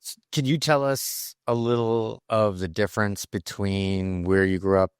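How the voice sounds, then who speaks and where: A man's voice: on the low side, steady tone, measured pace, clear, resonant tone, no room sound, clean studio sound. A man from Chicago hosting an interview show, enthusiastic, asking long rambling questions. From Chicago, USA. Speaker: podcast host.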